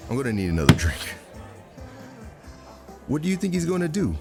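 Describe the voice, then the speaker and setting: A man's voice: fairly high pitch, wildly swooping pitch, fairly quick, gravelly, full character voice. A man playing a cocky, defiant operative in a naturalistic drama, brash and dismissive. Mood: defensive. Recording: music under dialogue.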